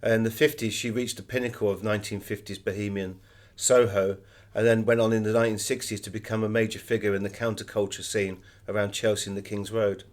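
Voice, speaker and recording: A man's voice: lowish voice, level tone, fairly quick. A middle-aged British man being interviewed as an author, telling anecdotes in a conversational way.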